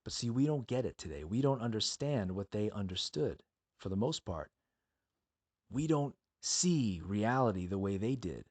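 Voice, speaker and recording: A man's voice: on the low side, very animated, moderate pace, a little mellow. A man teaching the Bible as a podcast host, speaking earnestly and emphatically in a monologue built on rhetorical questions and appeals to the listener.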